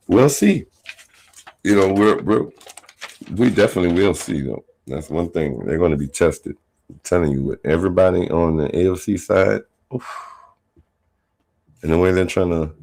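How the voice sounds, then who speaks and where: A very low-pitched man with a rough, gravelly edge hosts a solo sports podcast. He talks slowly and unhurriedly, wandering through his points with fillers and restarts. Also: mellow, rough recording. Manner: rambling, many fillers and restarts. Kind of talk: casual solo sports commentary.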